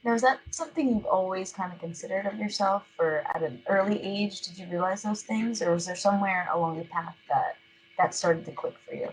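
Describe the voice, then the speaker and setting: A woman's voice: mid-range voice, brisk, rough recording. A woman interviewing a guest, asking loosely phrased questions and encouraging her answers. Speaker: student interviewer. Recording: faint background noise.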